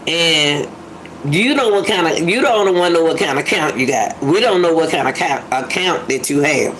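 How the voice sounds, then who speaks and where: A woman's voice: sits low, lively intonation, moderate pace, fairly bright tone, slight background noise, poor audio. A woman giving casual reaction commentary, musing aloud and repeating her phrases for emphasis. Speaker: commentator reacting to a phone call clip.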